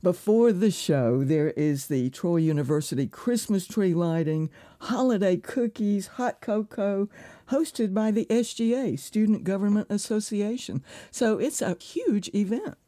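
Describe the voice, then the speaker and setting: Radio host, woman, mellow voice, conversational, dry close mic. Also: medium pitch, expressive, a little slow, no room sound. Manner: friendly, conversational questions. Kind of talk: radio interview hosting.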